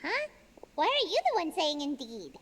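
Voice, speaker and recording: A woman voicing an excitable little girlish companion creature in a game, squeaky and dramatic. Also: normal speed, a little hiss or hum, heavily acted. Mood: indignant. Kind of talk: game voice acting. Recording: studio voice with reverb and game music.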